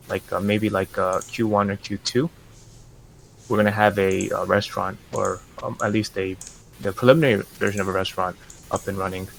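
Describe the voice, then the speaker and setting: A man with a low, gravelly voice lays out business plans at an unhurried, measured pace, stopping often for fillers and restarts. Faint noise sits under his voice. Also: a bit bright, low-quality recording. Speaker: NFT project representative. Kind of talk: casual podcast interview.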